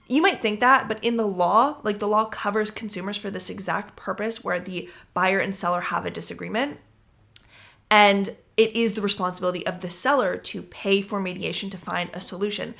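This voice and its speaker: Young woman podcaster, bright voice, little bit of vocal fry, fired up telling a story. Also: mid-range voice. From English-speaking country, living in France eight years. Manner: animated storytelling, indignant asides. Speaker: podcast host. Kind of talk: casual solo podcast chat.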